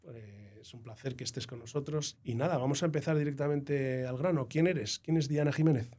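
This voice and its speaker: A man's voice: mid-range voice, fairly quick, a little mellow. A man from Spain hosting an interview podcast, asking short friendly questions. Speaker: podcast host.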